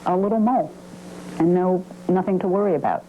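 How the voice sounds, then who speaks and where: Female interviewee, conversational storytelling, brisk, slightly low-pitched, light background noise. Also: dark tone, low-quality recording. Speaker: interviewee telling her story.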